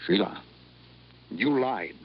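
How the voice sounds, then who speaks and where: An actor playing a stern, indignant older father and businessman in an old-time radio drama, with a gravelly voice. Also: lowish voice, lively intonation, takes it slowly, no room sound. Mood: wounded disbelief. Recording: dull vintage radio recording.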